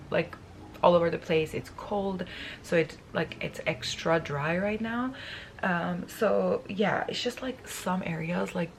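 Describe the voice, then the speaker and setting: Raspy-voiced woman in a chatty vlog. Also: lowish voice, a little hiss or hum. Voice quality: raspy, just woke up. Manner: chatty, many fillers and restarts. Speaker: beauty vlogger. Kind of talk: casual talk to camera. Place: bedroom, indoors.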